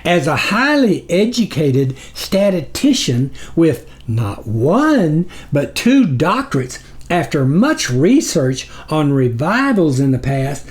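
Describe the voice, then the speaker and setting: A man's voice: a little high, darkish tone. A man voicing a grovelling demon underling in an audiobook story, a wordy, pedantic academic who speaks with obsequious formality.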